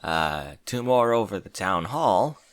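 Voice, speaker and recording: A man's voice: fairly low pitch, very animated, slow, smooth, no echo, studio quality. A man voicing a brash young female pegasus pony with a casual, flustered manner, in a story reading. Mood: nervous.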